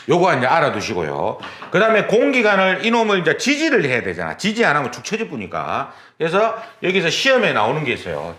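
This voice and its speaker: A man's voice: a little high, fairly bright tone. A man teaching an exam prep lecture, repeating key terms and talking through demonstrations. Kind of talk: recorded lecture. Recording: roomy lecture recording, faint noise.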